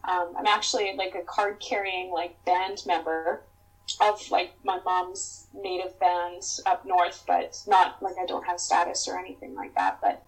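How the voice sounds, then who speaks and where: A woman's voice: on the low side, little pitch movement, measured pace, rough recording. A Canadian woman talking as a podcast guest on a remote call, reflective and hesitant, with many fillers. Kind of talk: casual remote podcast chat.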